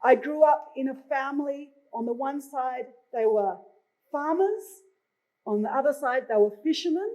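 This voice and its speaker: Picture a middle-aged Australian woman speaking from a conference stage, her voice sitting high. She talks in a chatty way, with plenty of "uh" fillers between her phrases. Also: moderate pace, dark tone, low-quality recording. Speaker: moderator.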